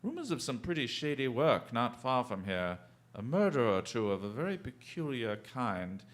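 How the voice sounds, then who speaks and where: An actor playing a shrewd, commanding detective in a radio drama, speaking formally and deliberately with dry, theatrical mockery. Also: male voice, mid-range voice, big pitch swings, natural voice. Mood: musing, sly. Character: shrewd, commanding male detective, coolly taunting and unflappable.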